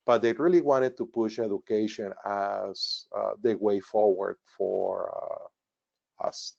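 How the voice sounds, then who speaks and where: A man in his sixties with a Mexican Spanish accent, his first language Spanish, presenting over slides as a retired engineer. His fairly low voice is earnest and explanatory, with frequent fillers. The sound is dull and lo-fi, like webinar audio. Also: fairly even intonation, takes it slowly, vocal fry, darkish tone. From northern Mexico.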